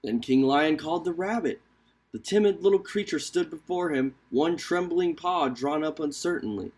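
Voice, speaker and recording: A man reading a children's animal folktale aloud as an expressive storyteller. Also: on the high side, normal speed.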